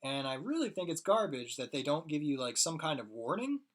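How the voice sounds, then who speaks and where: A hoarse young man rambling casually to his viewers while he draws on a live stream. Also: on the high side, sing-song, a little fast. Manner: rambling, chatty, talks to chat viewers. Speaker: streaming artist.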